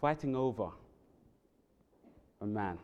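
A man from England with a London accent, preaching a sermon in a church. He speaks earnestly and climbs sharply in pitch and volume on key words. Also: expressive, takes it slowly, a little mellow, highs cut off. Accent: London English. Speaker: Bible worker preaching. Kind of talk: sermon with prayer and scripture reading. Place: church sanctuary.

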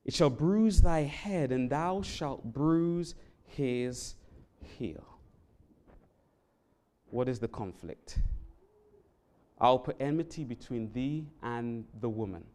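A man's voice: animated, takes it slowly, a little mellow. Earnest London-accented man preaching. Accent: London English. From England. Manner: earnest, rises sharply in pitch and volume on key words. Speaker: Bible worker preaching. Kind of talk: sermon with prayer and scripture reading. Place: church sanctuary.

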